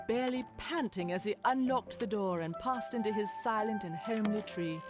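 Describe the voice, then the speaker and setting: A woman's voice: medium pitch, lightly acted. A woman narrating a scripted adventure story in an audio drama, in storybook style. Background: music.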